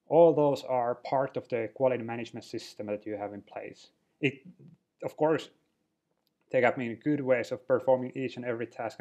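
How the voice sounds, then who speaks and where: Man, training lecture over slides, mid-pitched, unpolished, restarts and fillers. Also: darkish tone. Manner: loose, unpolished delivery with frequent restarts and fillers.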